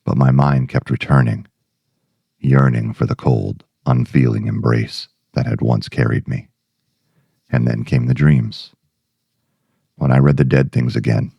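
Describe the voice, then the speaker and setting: Brooding male writer man acting, obsessed with darkness. Extremely low, husky voice, ominous and deliberate, for a dramatic monologue. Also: mellow, no echo, full character voice. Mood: wistful, haunted, then obsessed, yearning. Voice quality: very deep, gravelly, husky.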